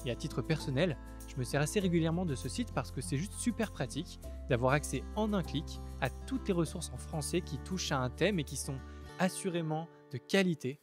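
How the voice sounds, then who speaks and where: A man's voice: a little high, animated, brisk, over music. Young man hosting a podcast. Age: young adult. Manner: friendly, scripted intro, clear. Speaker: podcast host. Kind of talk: podcast hosting with sponsor read.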